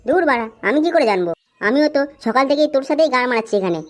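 Man acting a quick, cowardly young sidekick. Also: extremely high pitch, lively intonation, faint music behind. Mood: complaining. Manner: nervous, complaining. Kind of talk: amateur comedy cartoon dub.

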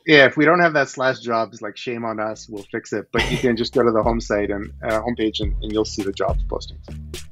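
A man in his forties, born in Ukraine, talking as a startup founder on a video call from his home, explaining with lively gestures and frequent restarts. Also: lowish voice, fairly quick, faint music behind. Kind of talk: casual video-call interview. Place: home living room. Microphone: headset on video call. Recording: dull, dry headset audio.

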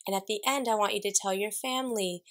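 A woman's voice: medium pitch, quick pace. A woman, introducing a read-aloud story to young children in a teacherly way. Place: small furnished room indoors with curtains.

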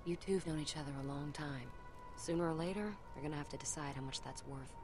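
A woman's voice: low voice, fast talker, over music. An actress playing a hardened, jaded young woman survivor in a game, speaking in a blunt, wry, understated way. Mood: grim, wary. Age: young adult. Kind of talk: naturalistic game acting.

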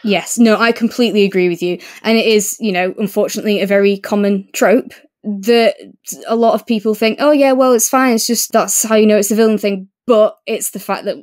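A woman's voice: mid-range voice, steady tone, brisk, a little mellow. A woman co-hosting a podcast, discussing books casually in an earnest, opinionated way. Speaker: podcast co-host.

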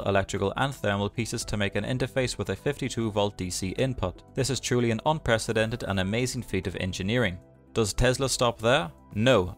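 A man narrates a scripted explainer at a brisk clip, his voice sitting fairly low. He moves through strings of numbers in an even, steady read. Faint music plays under him. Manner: rapid, even read of dense specs. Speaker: narrator of a tech explainer channel. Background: faint background music.

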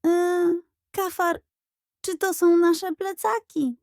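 A woman's voice: very high voice, level tone, takes it slowly, darkish tone, no echo, studio quality, voice pushed up, heavily acted. A woman voicing a baffled schoolboy bully who whines and exclaims in a children's audio story. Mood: bewildered.

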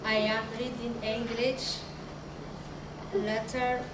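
Young Moroccan woman, Arabic native, halting simple English, very slow and a bit high; echoey room, distant podium mic. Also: level tone. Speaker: adult literacy student. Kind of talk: halting prepared remarks. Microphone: podium mic, far camera. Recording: echoey room from far off, background noise.

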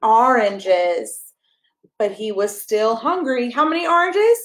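A woman in her thirties reading a picture book aloud to a toddler, expressive and encouraging, coaxing the child along with questions. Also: fairly high pitch, slow, reverberant. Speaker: pastor reading a children's story. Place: small carpeted room at home, indoors. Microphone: camera a step or two away.

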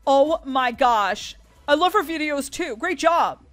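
A woman's voice: medium pitch, lively intonation, normal speed, a bit bright, no room sound, faint music behind, natural voice. A woman playing a chatty, bubbly young donut shop worker in a gaming roleplay. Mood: gushing.

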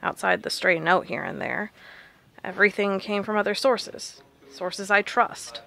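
An actress playing a blunt, stubborn researcher in an audio drama. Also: female voice, medium pitch, unhurried, creaky, sharp tone. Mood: firm, defiant. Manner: blunt, firm. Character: blunt, stubborn woman researcher, fed up and resolute.

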